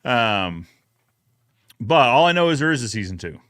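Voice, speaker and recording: A man's voice: medium pitch, big pitch swings, normal speed, a bit bright, dry recording. Man casually hosting a podcast chat, dry sound. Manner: conversational, addresses viewers directly. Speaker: YouTube host.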